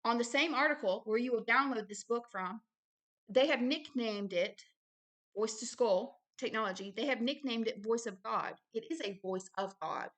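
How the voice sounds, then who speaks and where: High-voiced woman, emphatic religious commentator. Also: normal speed, a bit bright. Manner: emphatic, repeats phrases for stress. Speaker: host, self-described prophet reading a book aloud with commentary. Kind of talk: religious commentary mixed with reading aloud.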